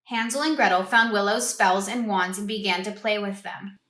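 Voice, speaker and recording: Bright-voiced woman reading a storybook aloud. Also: mid-range voice, normal speed. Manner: expressive, asks listeners questions. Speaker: read-aloud host for children. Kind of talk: children's storybook read-aloud. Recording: roomy echo.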